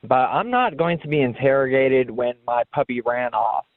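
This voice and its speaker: A flustered, defensive American man with a mid-pitched voice talks to police over the phone. The sound is tinny and lo-fi, close and dry. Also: animated, moderate pace, no room sound. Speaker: caller being questioned by police. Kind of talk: phone conversation. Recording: tinny lo-fi recorded phone call.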